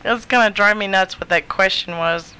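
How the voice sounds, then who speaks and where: A woman's voice: mid-range voice, moderate pace, bright, forceful tone, smooth, rough recording. A woman co-hosting a talk show with casual banter and a blunt, opinionated way of talking.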